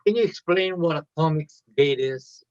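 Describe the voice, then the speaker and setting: Higher-pitched male interviewer, dull dry sound. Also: moderate pace, a little mellow, smooth. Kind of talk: casual interview.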